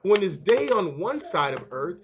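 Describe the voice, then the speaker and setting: A man's voice: high-pitched, sing-song, measured pace, noisy background, heavily acted. A man voicing curious, eager young schoolchildren in a storybook, with simple exclaiming delivery. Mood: excited.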